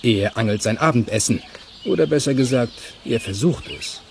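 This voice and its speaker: Faint background noise accompanies a storybook narrator with a medium-pitched voice. He reads scripted children's radio play narration in a calm, measured, gently wry way. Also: gravelly.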